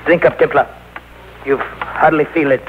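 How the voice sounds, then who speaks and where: A man's voice: steady tone, unhurried, close to an everyday voice. A man playing a sinister, murderous doctor in 1940s radio acting style, insistent and menacing. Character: sinister male doctor, a murderer coaxing his victim. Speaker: radio drama actor. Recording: noisy, tinny old radio taped by boombox.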